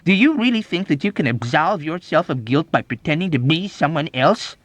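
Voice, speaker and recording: A man's voice: medium pitch, animated, slow, dark tone, dry recording. A man voicing a belligerent, easily offended army major in an audiobook, indignant and accusatory. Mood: scornful fury. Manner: indignant, accusatory, badgering, emphatic. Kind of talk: audiobook character acting.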